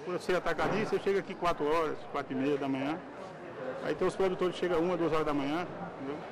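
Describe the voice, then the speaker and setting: A man's voice: a little high, very fast. A middle-aged man who is a wholesale vendor, answering interview questions about his hours. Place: covered wholesale market. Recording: market crowd noise.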